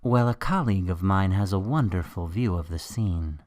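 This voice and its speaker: Man playing a smug, low-voiced criminal mastermind. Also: darkish tone, no echo, studio quality, lightly acted. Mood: smug menace. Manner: taunting, theatrical, deliberate. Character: smug male criminal mastermind professor, coolly threatening. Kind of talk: radio drama acting.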